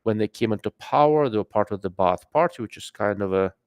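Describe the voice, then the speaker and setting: A man's voice: lowish voice, animated, a little fast, clean tone, no room sound. A man with an accent hosting a live stream, talking loosely and rambling with lots of fillers as he comments and answers viewers. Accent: non-native English. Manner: rambling, filler-heavy, greets chat mid-sentence. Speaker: livestream host.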